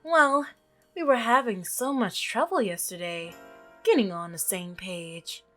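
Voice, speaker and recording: A woman voicing an obsessive, unsettling milkman in a visual novel, soft-spoken, coaxing and falsely reasonable. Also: medium pitch, unhurried, faint music behind, pitched below the performer's own voice. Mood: creepy, coaxing.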